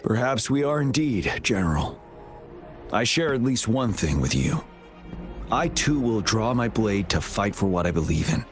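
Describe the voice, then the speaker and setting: A man voicing a composed, resolute military commander in a video game, measured and solemn, with music behind him. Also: fairly low pitch, lively intonation, close to an everyday voice. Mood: quiet resolve.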